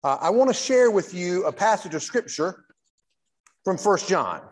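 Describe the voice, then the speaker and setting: A man in his sixties, a Baptist pastor, giving a church lecture in a deliberate, emphatic preacher's delivery with pauses. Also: high voice, expressive. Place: large church hall, speaking at a lectern. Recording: reverberant church hall.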